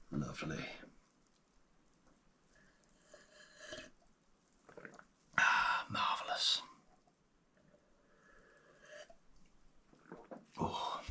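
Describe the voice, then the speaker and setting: A man playing a genial, gentle paleontologist in an ASMR roleplay, speaking softly, often in a whisper, with an unhurried, hesitant and gently delighted manner. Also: sits low, exaggerated voice. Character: genial, gentle male paleontologist guiding a trainee.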